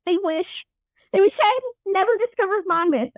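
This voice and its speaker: A woman's voice: extremely high pitch, normal speed, no echo. A woman voicing an earnest, aggrieved young teen boy hero in a fantasy audio drama, emotional and a little whiny. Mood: hurt, upset.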